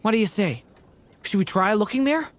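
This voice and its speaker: An actor voicing a gentle, shy young dragon boy in a game, soft-spoken and hesitant. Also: sits high, lively intonation, a little fast. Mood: hopeful. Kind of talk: game voice acting.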